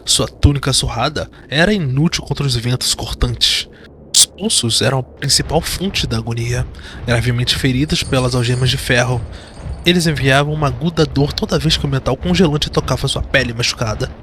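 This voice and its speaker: A man's voice: medium pitch, normal speed, fairly bright tone, slight background noise. A man with a Brazilian accent giving dramatic scripted narration of a fantasy audio drama. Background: background music.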